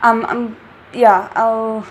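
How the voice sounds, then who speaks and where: A woman's voice: on the high side, level tone, extremely slow, a little hiss or hum. A woman host giving casual, amused reaction commentary in loose, hesitant phrases. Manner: amused, hesitant phrasing, short listening murmurs.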